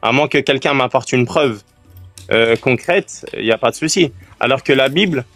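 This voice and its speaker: A man who is a guest in a calm live-stream debate, speaking earnestly and in a friendly way while he searches for words. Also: mid-range voice, moderate pace, faint music behind. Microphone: remote live-stream call.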